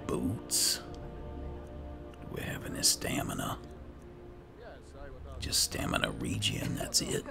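A man with an extremely deep, heavily creaky voice talks through a roleplay game. His pitch moves around freely, and he speaks in a low-key, muttering way with music playing behind him. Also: animated, slow pace, long pauses. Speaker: gamer playing in character. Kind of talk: casual roleplay gameplay narration.

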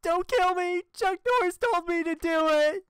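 High, whiny teenage anime boy played by a woman. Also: moderate pace, no room sound, clean studio sound. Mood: panicked. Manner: whiny, excitable. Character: panicky, naive teenage boy martial arts student. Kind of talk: amateur anime parody dub.

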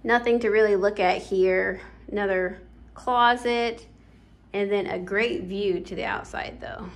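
Slow-talking young woman giving a tour. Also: medium pitch. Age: young adult. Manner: relaxed, chatty, with frequent ums. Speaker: home vlogger. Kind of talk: casual house tour vlog. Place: empty house, walking room to room. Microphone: phone camera held while walking.

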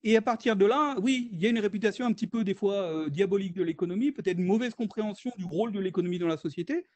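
A man's voice: high-pitched, fast, darkish tone. A French man, an economist, presenting in a video-call webinar, hesitant with many fillers and restarts. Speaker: economist guest speaker.

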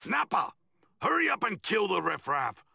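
A man playing an arrogant, cold alien villain prince in an anime game, with a raspy voice and a commanding manner. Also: high voice, big pitch swings, normal speed, pushed and bright, no room sound, studio quality, full character voice. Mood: impatient, scheming. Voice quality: raspy, gravelly. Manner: haughty, commanding. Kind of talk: English anime game dub acting.

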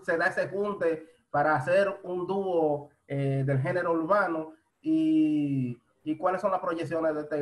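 High-voiced host on a video call. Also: male voice. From Dominican Republic. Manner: hesitant, restarts questions. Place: home room indoors.

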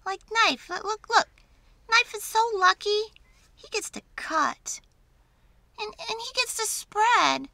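Woman voicing a whiny, high-pitched little boy spoon. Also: animated, vocal fry, bright, forceful tone, dry recording, exaggerated voice. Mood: glum, envious. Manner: hesitant, halting, whiny. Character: shy, self-doubting little boy spoon.

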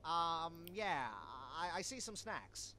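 A man's voice: a little high, lively intonation, slow, pushed and bright, exaggerated voice. A man voicing a nervous, whiny, foul-mouthed animal scavenger in a cartoon game, hesitant and exasperated.

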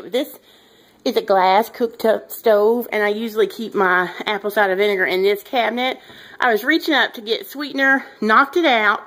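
Chatty woman vlogging at home. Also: fairly high pitch. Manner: chatty, rambling, addressing viewers informally. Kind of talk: casual vlog chat to viewers. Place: home kitchen, indoors. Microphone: handheld camera or phone at arm's length.

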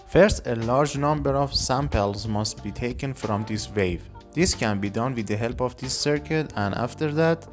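A man in his twenties narrating an electronics tutorial as the presenter of his own videos. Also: medium pitch. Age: 20s.

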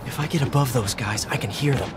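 An actor playing a quippy young American superhero who mutters wryly to himself in a video game. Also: male voice, mid-range voice, fast talker, over music, natural voice, low-quality recording. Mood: focused, quippy. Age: young adult. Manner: wry self-talk. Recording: clear city street noise behind.

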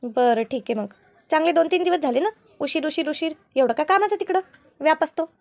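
A woman's voice: sits high, brisk, gravelly, no room sound. A woman voicing a demanding older mother-in-law in a cartoon family drama, nagging and commanding with repeated words. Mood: impatient, nagging.

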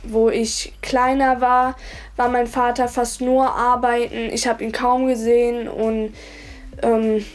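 A woman's voice: on the high side, flat delivery, slow pace. An eighteen-year-old woman, talking casually and a little hesitantly in a documentary interview. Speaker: documentary participant.

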